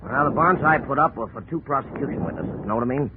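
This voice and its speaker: A man doing a pushy gruff bondsman, streetwise wheedling patter, tinny old broadcast, hiss behind. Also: medium pitch, expressive, fast talker, close to an everyday voice. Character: pushy, blustering middle-aged male bail bondsman. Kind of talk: 1940s radio acting.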